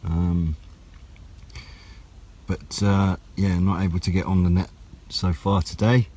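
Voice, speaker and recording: Low-voiced British man rambling outdoors. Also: flat delivery, darkish tone. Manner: rambling, unhurried, low-key. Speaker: radio hobbyist vlogger. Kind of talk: casual outdoor vlog chat. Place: outdoors on wooded high ground by a road. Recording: outdoor noise with passing vehicles.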